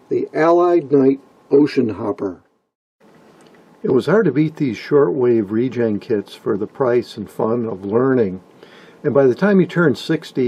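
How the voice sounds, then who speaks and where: Gravelly, husky older man narrating. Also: mid-range voice, warm tone, muffled recording. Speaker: hobbyist video narrator. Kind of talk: informal scripted narration about vintage radios.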